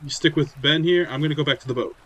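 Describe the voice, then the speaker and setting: A man's voice: fairly high pitch, fast talker, a bit bright, a little hiss or hum. A man playing a tabletop roleplaying game, talking casually through his character's plans.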